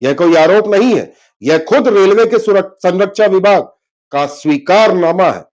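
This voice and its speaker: Male news narrator, high voice, widely swooping intonation, emphatic, investigative report delivery. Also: animated, normal speed, a little mellow. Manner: dramatic, emphatic, with rhetorical questions. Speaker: news presenter.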